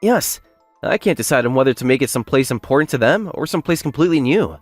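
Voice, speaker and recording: A man performs an earnest young working man in a manga-style drama, speaking. His delivery is very expressive and emphatic, courteous yet indignant when wronged. The sound is close and dry, with soft music underneath. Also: mid-range voice, quick pace, no echo, close to an everyday voice. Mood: bashful. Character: earnest young male factory worker, polite but indignant when wronged. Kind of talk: anime-style manga dub acting with first-person narration.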